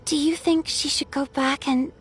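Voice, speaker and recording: A woman voicing a shy, soft-spoken young girl in a fantasy video game. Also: sits high, steady tone, normal speed, faint music behind, close to an everyday voice. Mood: curious, tentative.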